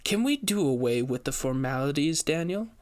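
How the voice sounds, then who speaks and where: A man voicing an elegant, seductive, wealthy society hostess with genteel, measured, formal speech in an audio story. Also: on the high side, big pitch swings. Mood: sultry, coy.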